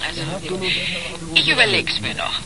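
A woman's voice: medium pitch, huge pitch swings, moderate pace, sharp tone, heavily acted, poor audio. An actress playing an evasive, coolly teasing woman in a 1980s radio crime drama, speaking with understatement.

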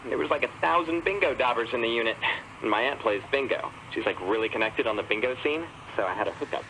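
A man's voice: mid-range voice, quick pace, bright, forceful tone, a little hiss or hum, rough recording. An actor playing a chatty male radio caller who rambles about junk he bought at an auction. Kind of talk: video game voice acting.

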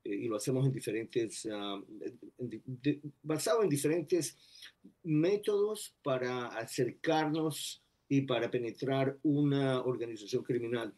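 A man in his sixties from Ecuador, a retired federal agent speaking as a guest over a video call, measured and full of pauses. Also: mid-range voice, a little mellow. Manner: measured, many pauses and "eh" fillers. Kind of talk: remote video interview. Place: indoors before a plain backdrop.

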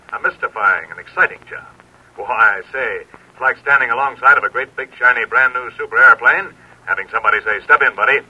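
Old time radio flying hero, earnest pep talk to kids, husky, muffled old recording. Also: male voice, lowish voice, distant mic. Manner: hearty, earnest, exhorting. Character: heroic, earnest, avuncular aviator captain addressing young listeners. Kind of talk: 1940s radio acting and sponsor pitch.

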